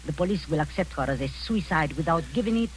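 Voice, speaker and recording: A woman's voice: low-pitched, expressive, quick pace, slight background noise, rough recording. An actress playing a scheming older female spy who poses as a kindly aunt, speaking in a sugary, condescending way that turns cold and commanding, in 1940s radio acting style. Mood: coldly scheming. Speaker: villainous spy posing as an aunt.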